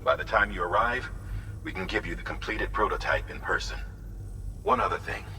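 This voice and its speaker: Game commander, very deep voice, authoritative, businesslike, noisy distant transmission. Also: male voice, expressive, normal speed, pushed and bright. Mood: urgent, directive. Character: commanding male superior directing a courier's mission.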